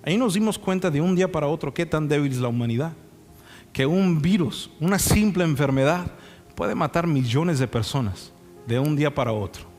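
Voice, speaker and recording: A man's voice: a little high, lively intonation, fairly quick, a little mellow. A young man preaching a sermon with anecdotes in a conversational way, often checking in with rhetorical questions. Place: church sanctuary. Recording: reverberant hall sound, music underneath. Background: music.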